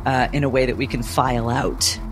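A man's voice: mid-range voice, level tone, a little fast. A man taking part in a tabletop roleplaying game, chatting casually as he describes his character's moves. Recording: music bed under the voice.

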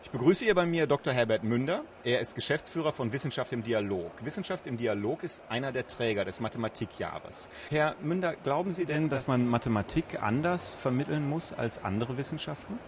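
Male interviewer amid clear background noise. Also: mid-range voice, expressive, brisk, hardly any pauses. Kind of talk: broadcast-style interview questions. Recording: noisy event ambience with traffic.

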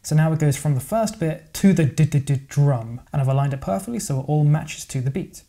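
A man narrating a software editing tutorial in a relaxed, chatty way, thinking aloud as he goes. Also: fairly high pitch, brisk, noisy background. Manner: casual, explanatory, thinks aloud with verbal sound effects. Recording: voice-over with music playing underneath.

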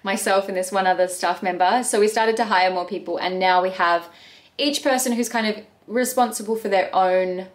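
A woman's voice: medium pitch, steady tone, normal speed. A woman in her thirties, a bakery owner, chatting earnestly to camera from her bedroom in a casual question-and-answer video. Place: bedroom at home.

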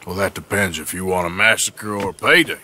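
An actor playing a gruff, wry, world-weary American outlaw gunslinger in a video game, with a dry, laconic manner. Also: male voice, low voice, brisk, sharp tone, slight background noise. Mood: dry, blunt. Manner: dry, laconic, self-deprecating. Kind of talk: cinematic video game acting.

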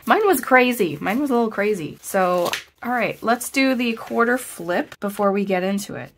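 A woman vlogging as she reviews her planner, chatty and self-deprecating, thinking aloud, her voice slightly hoarse after being ill. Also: mid-range voice, animated, moderate pace, slight background noise. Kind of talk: casual chat, plan with me.

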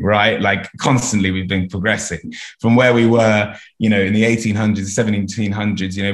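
Male guest, twenties, fairly deep voice, casual and earnest, upbeat conversational delivery. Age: 20s. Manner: upbeat, earnest, often checks with "you know". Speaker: interview guest, entrepreneur. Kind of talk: casual interview chat. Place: indoors at home, video call.

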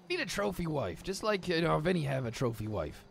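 Lively, profane male game streamer. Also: a little high, moderate pace, gravelly. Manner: profane, teasing, talkative. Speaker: streamer, role-play gamer. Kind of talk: casual in-game banter. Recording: game car and street noise.

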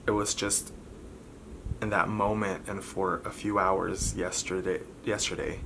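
A man in his twenties talking candidly to camera as a vlogger, halting and pausing often between short phrases. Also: low-pitched, flat delivery. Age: 20s. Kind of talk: candid personal talk to camera. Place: bedroom, indoors. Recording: clearly noisy room recording.

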